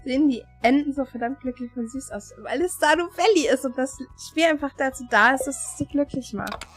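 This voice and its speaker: A young woman chatting casually in a teasing, expressive way. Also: high-pitched, brisk, faint music behind. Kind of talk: casual chat.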